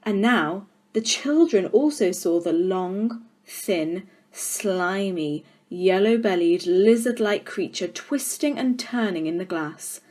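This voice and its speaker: Children's audiobook narrator: woman, mid-range voice, expressive, deliberate and dramatic. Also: mid-range voice. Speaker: storyteller.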